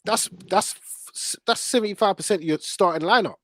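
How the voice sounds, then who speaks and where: A man's voice: sits high, brisk, fairly bright tone, no room sound. A man hosting casual football debate, emphatic and slangy, repeating himself for stress. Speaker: football podcast host.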